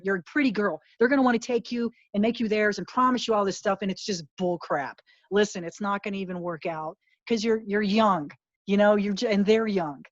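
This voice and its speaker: A woman's voice: medium pitch, no echo. Woman guest talking earnest and emphatic, dry muffled sound. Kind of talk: candid interview talk.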